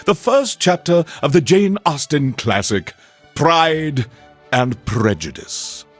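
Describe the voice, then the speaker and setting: An actor playing a pompous, cultured alien overlord as a theatrical radio DJ reading literature in a game. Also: male voice, a little high, big pitch swings, slow pace, faint music behind, heavily acted. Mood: stately. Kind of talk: classical radio DJ and literary narration.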